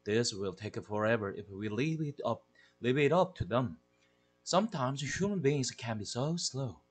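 A man with a husky, breathy voice reading a storybook, playing a sarcastic male house cat who speaks in a dry, condescending tone. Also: lively intonation, moderate pace. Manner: dry, condescending, low-key.

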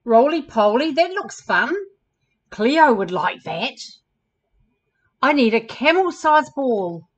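A woman's voice: a little high, big pitch swings, slow pace, long pauses, breathy edge, highs cut off, full character voice. A woman voicing an earnest, insecure male camel with big plans in a children's storybook, plaintive and eager.

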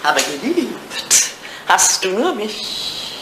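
A woman's voice: mid-range voice, sing-song, slow. An actress playing an elderly English lady, a playful and sentimental old flame, in a German radio play. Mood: coquettish. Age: older. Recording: roomy sound with clear background noise.

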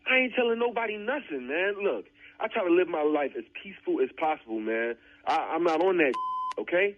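A man's voice: a little high, animated, fairly quick. A man arguing informally on the phone, exasperated and repeating himself. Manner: exasperated, repetitive. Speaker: prank call recipient. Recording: muffled phone line, radio broadcast.

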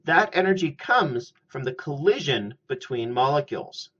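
A middle-aged man giving a scripted chemistry lecture at a steady, methodical pace, recorded on a webcam in a small room. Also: mid-range voice. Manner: measured, methodical explaining. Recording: slightly muffled lo-fi audio.

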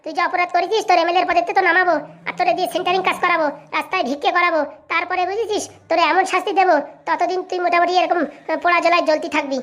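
A woman's voice: extremely high pitch, little pitch movement, a bit bright. A woman voicing a furious, domineering wife in a comic cartoon, scolding and threatening. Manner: scolding, threatening, rapid-fire. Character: furious bossy wife, domineering mistress of the house.

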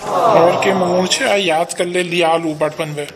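A man's voice: high-pitched, steady tone, unhurried. A man voicing a grumbling, worried village father with a Kumaoni accent in an amateur cartoon comedy. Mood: casual.

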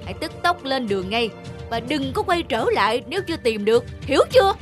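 A woman's voice: high-pitched, very animated, fast, faint music behind. A woman voicing a cruel, scheming middle-aged stepmother in a children's fairy-tale cartoon, scolding and commanding. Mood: harsh, commanding.

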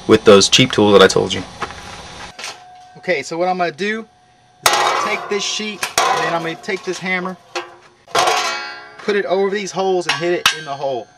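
Casual young man explaining, noisy outdoor audio. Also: fairly high pitch. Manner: informal, explaining step by step. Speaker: hobby metalworker demonstrating. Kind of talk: casual how-to narration. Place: outdoor work yard. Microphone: camera mic a short distance away.

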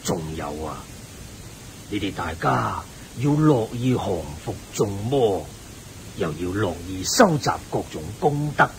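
Wise Buddhist sage, slow lively delivery, benevolent, didactic, Hong Kong Cantonese radio play. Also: male voice, gravelly, exaggerated voice, poor audio. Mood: benevolent, solemn. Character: kindly, wise male Buddhist layman sage. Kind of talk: radio drama acting, teaching. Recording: hissy, rumbly old radio recording.

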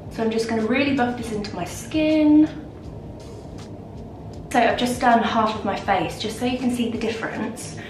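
Nasal, congested young woman chatting. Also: medium pitch. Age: young adult. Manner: chatty, gushing, many fillers. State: out of breath. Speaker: lifestyle vlogger. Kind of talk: casual makeup tutorial chat. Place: home bathroom, indoors. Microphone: camera a little way off. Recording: roomy bathroom echo, distant mic, background hiss.

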